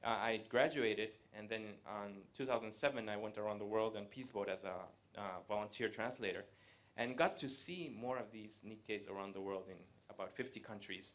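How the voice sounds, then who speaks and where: A man, giving a live presentation to an audience in a conversational way, pausing often to hesitate. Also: fairly low pitch, a bit bright. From Latin America. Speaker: guest speaker. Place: event room with a live audience.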